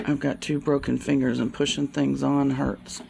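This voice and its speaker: A woman's voice: rumbling low, little pitch movement, creaky, darkish tone, a little hiss or hum, rough recording. A woman with a Southern US accent talks casually and folksily as she demonstrates a hands-on DIY job, filmed on a handheld camera. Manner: folksy, unhurried, murmuring along while working. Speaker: DIY vlogger.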